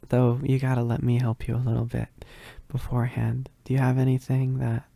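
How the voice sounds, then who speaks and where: A man playing a shy, needy, gentle werewolf roommate in an intimate ASMR roleplay, hesitant and stammering. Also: medium pitch, vocal fry, no echo. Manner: hesitant, stammering, bashful with soft chuckles.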